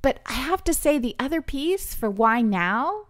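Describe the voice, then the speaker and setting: A woman's voice: high voice, very animated, unhurried. Earnest woman thinking aloud. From British Columbia, Canada. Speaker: podcast guest. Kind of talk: conversational interview.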